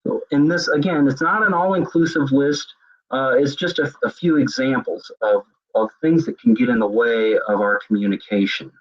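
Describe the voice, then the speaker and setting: Husky-voiced man giving a webinar lecture. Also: medium pitch, moderate pace, muffled recording. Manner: conversational, with frequent fillers and restarts. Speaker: web seminar presenter. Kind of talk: lecture on leadership communication.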